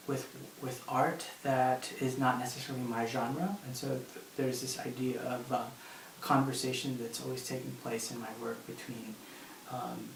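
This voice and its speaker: A man from San Francisco, a poet, talking quietly and thoughtfully in an interview, with halting phrases. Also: medium pitch. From born in Philippines, grew up in San Francisco. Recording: echoey, distant mic, faint noise.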